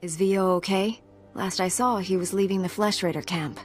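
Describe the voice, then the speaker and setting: A woman's voice: mid-range voice, fairly even intonation, moderate pace, natural voice. A woman voicing a confident young female knight in a video game, direct and matter-of-fact. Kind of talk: video game character acting. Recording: game music behind voice.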